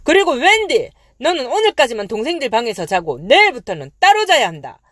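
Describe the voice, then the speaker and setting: Woman as a loud, stern storybook father. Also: high-pitched, very animated, a little fast, no room sound, clean studio sound, pitched above the performer's own voice, full character voice. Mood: angry. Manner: sharp, loud, scolding. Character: stern, hot-tempered father scolding his children. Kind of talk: children's storybook read-aloud.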